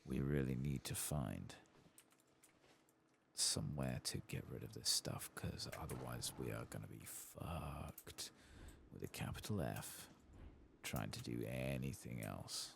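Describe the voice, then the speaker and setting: A soft-spoken man giving casual ASMR game commentary, muttering as he thinks aloud, close to the microphone. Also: rumbling low, takes it slowly, gravelly, a little hiss or hum. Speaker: host of a gameplay channel.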